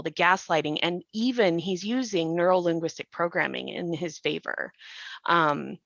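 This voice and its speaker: A woman's voice: on the low side, fairly bright tone, no echo, highs cut off. A woman hosting a reflective podcast discussion, explaining things in a thoughtful way. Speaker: podcast host and actor.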